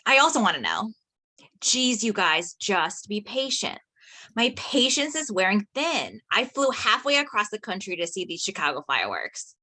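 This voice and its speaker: A woman playing a brash, excitable, foul-mouthed teenage girl in a drama reading. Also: mid-range voice, normal speed. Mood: cheeky.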